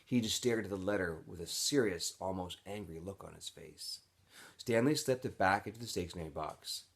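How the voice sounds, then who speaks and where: A man's voice: low voice, normal speed, creaky, slightly rough. A man reading a children's novel aloud expressively, like a teacher reading to students. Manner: expressive, switches into character voices.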